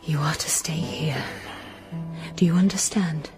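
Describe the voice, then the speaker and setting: A slow, hushed, low-voiced woman. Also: fairly even intonation, over music. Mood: firm, urgent. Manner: hushed, deliberate, commanding. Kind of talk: radio drama acting.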